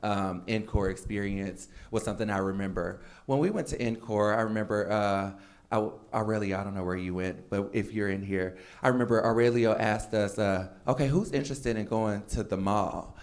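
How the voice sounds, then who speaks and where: A man's voice: on the low side, normal speed. A man on a panel telling a story in a chatty way, with many fillers. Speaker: alumni panelist. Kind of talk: panel talk at a keynote.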